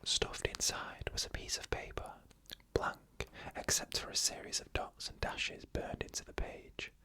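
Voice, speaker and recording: An ASMR storyteller who reads eerie first-person stories in a soft, unvoiced whisper, with measured pauses between short phrases.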